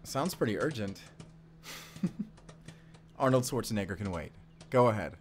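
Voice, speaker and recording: A man playing an affectionate, playful and flirty love interest in an intimate audio roleplay, with a gently teasing manner. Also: on the low side, expressive, a little slow. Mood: hesitant, considerate. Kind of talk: intimate audio roleplay acting addressed to the listener.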